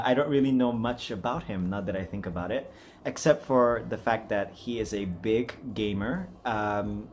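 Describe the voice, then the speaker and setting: Lively, giggly, casual man. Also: mid-range voice, a little hiss or hum. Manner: giggly, self-deprecating. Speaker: amateur voice acting challenge host. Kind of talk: casual, chatty vlog.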